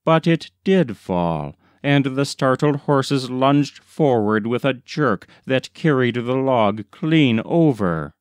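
A man narrates a story as an audiobook reader. His delivery is slow and deliberate, with a smooth, resonant voice of middling pitch. The sound is dry and close. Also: expressive, no echo. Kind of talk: scripted literary narration.

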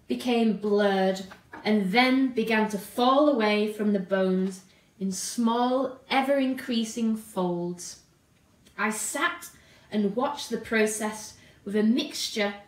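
A woman plays an eccentric old lady with a high voice. She tells her story grandly and vainly, drawing out words with theatrical deliberation. The room adds clear echo. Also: far from the mic. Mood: wistful, dramatic. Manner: theatrical, deliberate, drawn-out delivery. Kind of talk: dramatic reading of a short story. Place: indoor room at home. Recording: roomy home sound.

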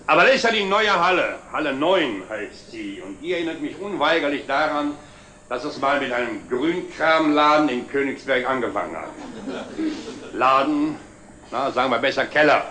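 An actor playing a jovial, self-made older company director, giving a proud, deliberate speech in a 1960s German TV drama. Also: male voice, medium pitch, sharp tone. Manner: expansive, deliberate speechmaking with wry chuckles. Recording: slightly noisy old 1960s TV soundtrack.

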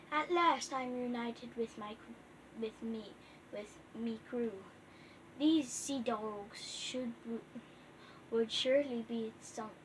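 Young girl gaming vlogger, high voice, noisy phone recording in a dim bedroom. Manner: chatty, reads game letters aloud haltingly. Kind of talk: casual gameplay chat.

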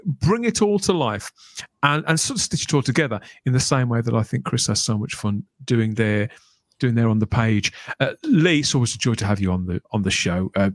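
A man's voice: on the high side, no room sound. A man hosting a casual podcast in an effusive, appreciative manner, speaking into a close desk microphone. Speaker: podcast host. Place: small room at home, on a video call.

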